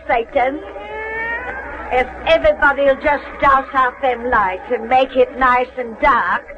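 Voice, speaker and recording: Cackling, slow-drawling ancient witch. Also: fairly high pitch. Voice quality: cackling, cracked old voice. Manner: folksy, drawling, relishing the horror. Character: gleeful hundred-and-eleven-year-old witch telling spooky tales. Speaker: storyteller host. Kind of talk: 1930s radio horror hosting. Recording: noisy muffled old radio recording.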